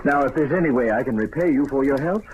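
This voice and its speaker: A man's voice: mid-range voice, lively intonation, quick pace, a little mellow, muffled recording, close to an everyday voice. A controlling, formal husband, played by a male actor in an old radio drama. His middling voice swings widely as he speaks in clipped, commanding phrases over faint noise. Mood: controlled, polite. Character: stern, controlling husband, formally polite to strangers. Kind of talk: old-time radio mystery acting.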